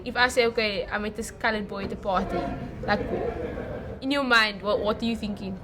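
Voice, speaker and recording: A woman's voice: mid-range voice, fairly even intonation, fairly quick, noisy background. A young woman, chatting casually in a group. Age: young adult.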